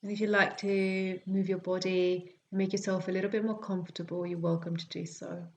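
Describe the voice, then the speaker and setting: A woman teaching yoga nidra, guiding a relaxation meditation in a gentle, soothing, unhurried way with long pauses. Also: on the low side. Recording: birdsong and forest sounds behind voice. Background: calming forest nature sounds with birds.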